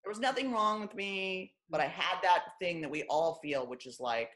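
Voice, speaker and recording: A woman from Los Angeles with a deep, low voice, a former stand-up comic talking candidly and wryly as an interview guest. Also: normal speed, fairly bright tone. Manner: wry, self-deprecating, lots of fillers like "you know" and "like".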